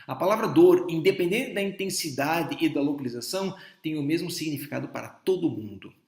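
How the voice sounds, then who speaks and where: A middle-aged man from Brazil lectures doctors on philosophy, voice sitting a little high for a man. He explains patiently, often stopping to restart a phrase or check that listeners follow. The recording carries a noticeable room echo. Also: lively intonation, a little fast, vocal fry, darkish tone. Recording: echoey room.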